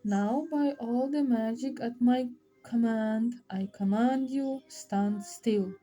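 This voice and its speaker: A woman's voice: a little high, slow pace, dark tone, faint music behind. A woman voicing a cruel, gloating witch in a storybook, taunting and commanding her captive. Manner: mocking, commanding, punctuated with "eh?" and "huh?". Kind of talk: storybook read-aloud.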